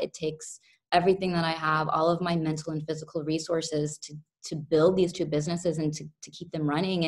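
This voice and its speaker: A young woman with a lowish, fairly deep voice talks through her story as a podcast guest. She is from the United States and speaks earnestly and reflectively, often restarting her sentences. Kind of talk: interview conversation.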